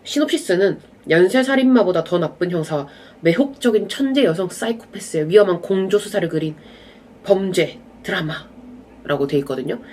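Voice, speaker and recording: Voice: medium pitch, moderate pace. A young adult vlogger with a slight cold and a stuffy nasal voice, chatting casually to camera about films and dramas. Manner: relaxed, chatty, drifting off into asides. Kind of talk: casual rambling chat to camera.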